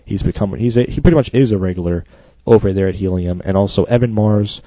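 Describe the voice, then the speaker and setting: A man's voice: fairly low pitch, fast, dry recording. A man hosting his own podcast, rambling and self-mocking in a loose monologue. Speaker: podcast host. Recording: dull lo-fi home recording.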